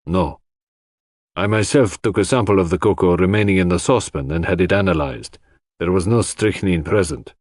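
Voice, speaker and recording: A man voicing an authoritative London toxicologist giving formal, measured evidence in an audiobook. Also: deep voice, dry recording, studio quality. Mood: measured, certain.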